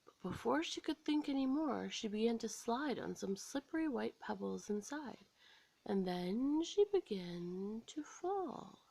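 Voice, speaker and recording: An American woman storyteller reading a scripted children's story aloud in an expressive, dramatic way. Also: on the high side, a little slow, vocal fry, dry recording, poor audio.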